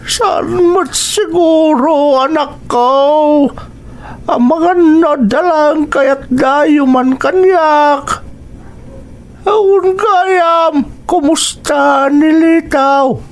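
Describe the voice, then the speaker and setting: A man voices an old village grandfather for an audio drama, very high in pitch and very slow. He has a Filipino accent and a kindly manner. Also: exaggerated voice. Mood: grave, kindly.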